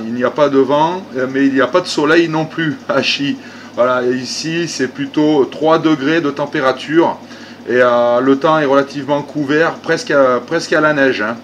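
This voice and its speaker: Male radio hobbyist from France, medium pitch, informal and repetitive, with light background hiss. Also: fairly bright tone. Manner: conversational, repeats phrases, tag words. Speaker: amateur radio operator. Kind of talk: unscripted radio contact chat. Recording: faint background noise.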